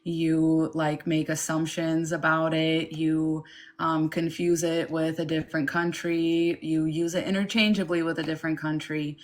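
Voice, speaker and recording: Frank low-voiced woman from Ukraine. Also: a little slow. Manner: candid and animated, mocks others in quoted asides. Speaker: guest. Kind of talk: casual conversation.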